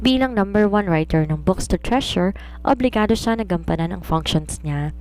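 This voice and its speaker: A woman's voice: mid-range voice, lively intonation, normal speed, a little mellow, low-quality recording. A woman with a Filipino accent narrating a romance novel as a scripted story.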